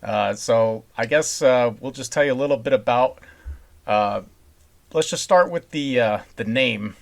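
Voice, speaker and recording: A man's voice: mid-range voice, measured pace, creaky. A man co-hosting a podcast in casual, unscripted talk, with an opinionated, emphatic way of putting things.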